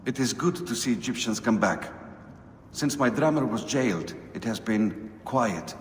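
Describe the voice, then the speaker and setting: Actor as a grave, echoing temple priest. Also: male voice, mid-range voice, lively intonation, unhurried, natural voice. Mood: welcoming. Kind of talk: dramatic game acting. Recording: echoing hall, faint background noise.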